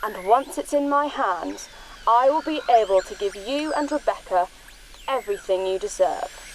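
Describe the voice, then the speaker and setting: An actress playing an imperious, secretive young princess who speaks formally and commandingly in an audio drama. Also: female voice, mid-range voice, lively intonation, moderate pace, slight background noise, lightly acted, poor audio.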